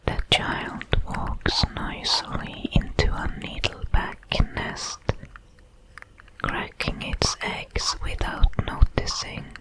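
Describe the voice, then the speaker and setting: A woman voicing a calm, watchful alien predator in a breathy whisper, with formal, measured diction for an ASMR audio roleplay. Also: lightly acted, poor audio. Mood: calm, detached. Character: many-legged alien predator, calm, watchful, protective, coldly curious.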